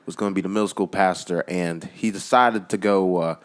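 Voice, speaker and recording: A seventeen-year-old young man from Haiti chatting casually in a low-key, slightly hesitant way. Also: fairly even intonation, fairly quick. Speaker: guest, younger brother of the host. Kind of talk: casual chat. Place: family kitchen.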